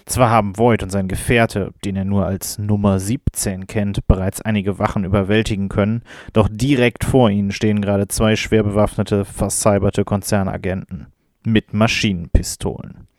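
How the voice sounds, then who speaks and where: A man's voice: on the low side, fairly even intonation, moderate pace, darkish tone, dry recording, studio quality. A man narrating a scripted recap as game master, addressing the listener directly and informally.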